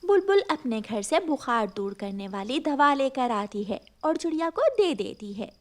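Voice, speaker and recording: High-voiced woman narrating a children's story. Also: animated, moderate pace.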